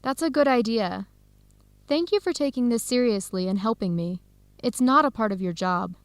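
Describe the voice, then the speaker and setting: An actress playing a friendly, teasing young American woman in an educational radio drama, speaking clearly and deliberately. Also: fairly high pitch, lively intonation, no room sound, clean studio sound. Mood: grateful.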